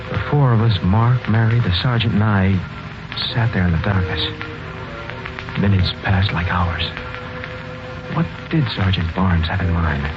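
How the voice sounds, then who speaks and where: A man's voice: on the low side, close to an everyday voice. An actor playing an earnest, shaken, hesitant man who narrates his own 1940s radio mystery. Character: earnest, shaken young man, wrongly suspected lover and narrator. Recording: muffled 1940s radio broadcast with music.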